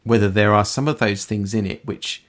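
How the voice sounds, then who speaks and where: A middle-aged man hosting a podcast, asking measured, thoughtful interview questions in a soft-spoken way. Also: lowish voice, fairly even intonation. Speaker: podcast host.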